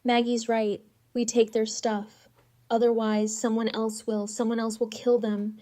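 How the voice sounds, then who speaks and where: A woman's voice: on the high side, flat delivery, unhurried, mellow, no echo. A woman acting a blunt, hard-edged young character in a fan audio drama.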